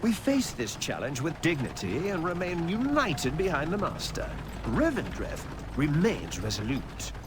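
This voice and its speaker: A man's voice: fairly high pitch, wildly swooping pitch, unhurried, noisy background. An actor playing a haughty, loyal vampire nobleman in a video game, speaking in a formal, imperious, grandiose manner. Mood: haughty, resolute. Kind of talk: video game cutscene acting. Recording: music behind the voice.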